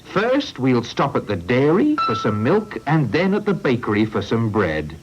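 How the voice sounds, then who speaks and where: A man's voice: medium pitch, huge pitch swings, normal speed, faint music behind, pitched above the performer's own voice. A man voicing a kindly, shrewd older farmer with a hearty, fatherly manner, on a lo-fi storybook recording. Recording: lo-fi old recording.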